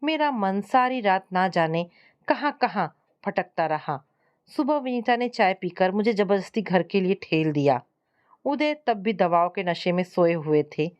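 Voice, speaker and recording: A woman narrates a scripted domestic story in the first person. Her pitch sits in the middle range for a woman, and she tells it as a storyteller reading from a written text. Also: a little mellow, dull audio.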